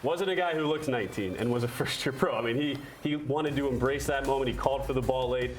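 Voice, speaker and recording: A man's voice: mid-range voice, quick pace, slight background noise. A young man, a radio play-by-play announcer, speaking conversationally as a guest in a studio interview. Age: young adult.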